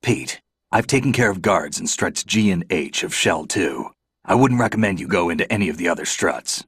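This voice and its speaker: A man's voice: low voice, lively intonation, normal speed, a bit bright, breathy edge, no room sound, exaggerated voice. An actor playing a seasoned male soldier in a video game cutscene, terse and matter-of-fact. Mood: gruff. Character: seasoned male soldier, gruff, calm, dependable. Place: recording studio. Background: game score music.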